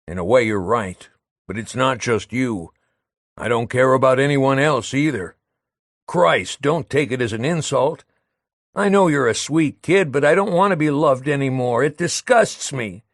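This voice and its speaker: Bitter disgusted guy ranting and shouting, voice leaping all over, as a man reads him. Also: medium pitch, animated, unhurried, no room sound, studio quality. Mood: weary.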